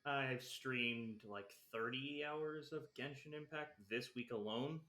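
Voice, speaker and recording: A man's voice: mid-range voice, takes it slowly, sharp tone, echoey room. A man casually chatting to his viewers as he livestreams a video game, with an informal, playful manner. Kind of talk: casual livestream chat while gaming.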